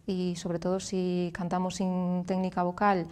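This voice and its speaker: A woman in her thirties with a Galician Spanish accent, a speech therapist explaining clearly and patiently as a guest in a TV studio. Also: fairly low pitch, monotone, unhurried, darkish tone. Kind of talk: explanatory interview answers.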